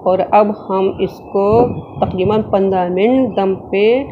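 A woman narrates a recipe step by step with a middling pitch. She repeats herself as she describes each action. The recording is dull and lo-fi, with clear kitchen noise behind her. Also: steady tone, slow pace. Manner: repetitive, explains each action as she does it. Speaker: home cook hosting a recipe video. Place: indoor home kitchen.

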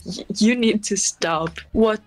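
A woman's voice: medium pitch, slow pace, noisy background. A nineteen-year-old woman from Italy on a video chat, sounding tired and a little shy. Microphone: webcam video call.

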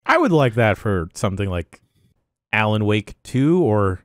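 A man's voice: fairly low pitch, sing-song, a little slow, dry recording. A man chatting casually as a podcast panelist, close on a microphone. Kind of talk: casual chat. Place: indoor room, close mic. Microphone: microphone on boom arm, headset.

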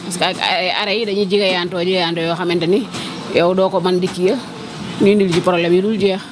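A woman's voice: on the low side, fairly even intonation, fast, music in the background. A young woman who works as a bus conductor, speaking frankly in a street interview inside a bus, into a handheld reporter mic. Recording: bus noise behind.

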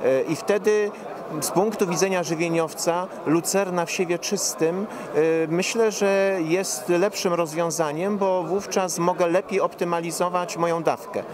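A middle-aged man giving formal, measured expert explanations as an interviewed professor. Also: high-pitched, fairly even intonation. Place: interview stand at a busy indoor forum. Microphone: handheld reporter microphone held out to him. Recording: busy trade event crowd noise.

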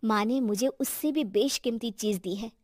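Woman as caring high-voiced eldest daughter-in-law. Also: moderate pace, darkish tone, dry recording, clean studio sound, natural voice. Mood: composed. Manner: polite, composed.

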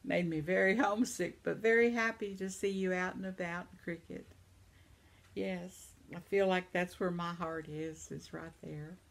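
Older woman, home vlogger, slow delivery, lowish gravelly voice, chatty and sympathetic. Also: steady tone. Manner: chatty, sympathetic, reacts aloud. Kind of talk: casual chat reading viewer comments. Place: living room at home, seated in armchair.

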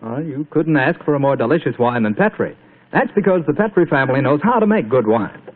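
A man's voice: mid-range voice, lively intonation, a little fast. American radio announcer man, tinny broadcast.

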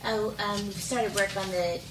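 A woman's voice: medium pitch, fairly even intonation, moderate pace. A woman giving a legal report at a town council meeting in a professional, explanatory way. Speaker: village solicitor. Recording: echoey room, far mic, loud background noise.

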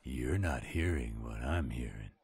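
A man's voice: rumbling low, lively intonation, measured pace, a little mellow, husky, exaggerated voice. A man playing a pushy, loyal friend in a roleplay audio drama, steadily teasing and insisting. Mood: teasing, insistent.

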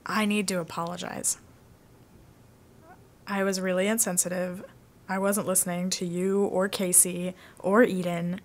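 A woman's voice: fairly low pitch, little pitch movement, unhurried, long pauses, lightly acted. A young woman acting as a frank female college student in an audio drama. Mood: remorseful. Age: young adult. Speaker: student actor.

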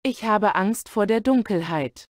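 A woman speaks unhurried practice sentences, first word by word, then whole. Her voice sits in the middle of the range, and the recording is dry and close with no room around it. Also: mid-range voice, lively intonation, no echo. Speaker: German language model speaker. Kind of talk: slow repeated phrase reading.

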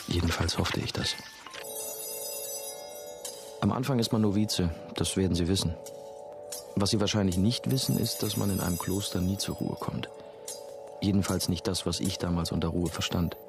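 Very deep-voiced, deadpan young monk. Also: male voice. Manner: deadpan, laconic. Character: young male novice monk narrating his past, dry and sardonic. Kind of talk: first-person radio play narration.

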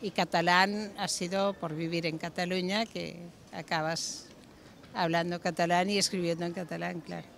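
A woman's voice: fairly low pitch, fairly even intonation, normal speed, gravelly, a little hiss or hum. An older woman with an Andalusian accent, answering a street interview outdoors in a matter-of-fact way. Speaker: passerby. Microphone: handheld mic.

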